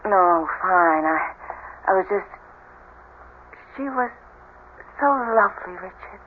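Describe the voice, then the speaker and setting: An actress playing an anxious young wife, loving but fearful and hesitant, in a 1970s radio drama. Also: female voice, fairly low pitch, expressive, slow pace. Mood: wistful, dazed. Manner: hesitant, breaking up phrases. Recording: dull, noisy 1970s radio recording.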